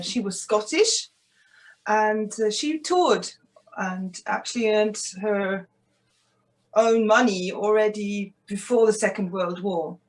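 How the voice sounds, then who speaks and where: A woman who grew up in southwest England, talking casually as a guest in an online interview. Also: mid-range voice. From born in Switzerland, grew up in southwest England. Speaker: interview guest, singer-songwriter. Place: remote internet video call.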